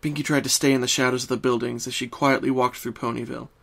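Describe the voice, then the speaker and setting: A man's voice: mid-range voice, flat delivery, vocal fry, fairly bright tone. Man narrating a story dramatically. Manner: solemn, deliberate storytelling with dramatic emphasis.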